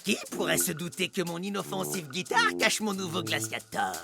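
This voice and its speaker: A woman's voice: on the low side, lively intonation, moderate pace, creaky. A woman playing a scheming cartoon villain, theatrical and gloating. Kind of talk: animated children's cartoon dub. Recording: loud music behind the voice.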